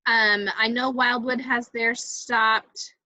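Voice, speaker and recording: A woman's voice: fairly high pitch, flat delivery, slow, sharp tone, dry recording. A woman moderating a video call, thinking aloud hesitantly as she gives casual technical help. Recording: dull, dry video-call audio.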